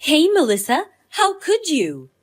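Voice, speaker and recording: Actress doing blunt pushy girlfriend type in a story drama, high voice, really animated. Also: unhurried, heavily acted. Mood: indignant, hurt. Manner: blunt, accusing. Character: Allison, pushy, indignant, spoiled but loyal woman friend. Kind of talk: scripted dramatized story dialogue.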